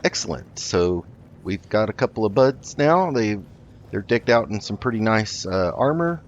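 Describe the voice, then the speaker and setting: Male gaming host, low pitch, polite, halting, improvised roleplay commentary, slightly dull recording. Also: sing-song, a little hiss or hum.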